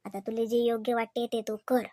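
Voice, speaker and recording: A woman's voice: on the high side, little pitch movement, a little fast, mellow, no room sound, studio quality, close to an everyday voice. A woman voicing a devout, caring, bossy village aunt in a comedy cartoon, with a homely Varhadi Marathi accent. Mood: prayerful. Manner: chatty, homely, instructing.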